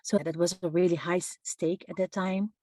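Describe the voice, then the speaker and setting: A woman, a human rights lawyer answering interview questions in a measured, thoughtful way with frequent hesitations. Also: fairly low pitch, steady tone, darkish tone. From Netherlands. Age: 50s.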